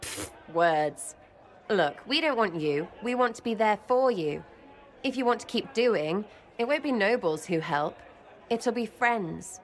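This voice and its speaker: A woman's voice: mid-range voice, lively intonation, a little slow. Brash young female rogue for a fantasy game: cheeky, slangy, blunt, loyal. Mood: warm, cheeky. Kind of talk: video game dialogue acting.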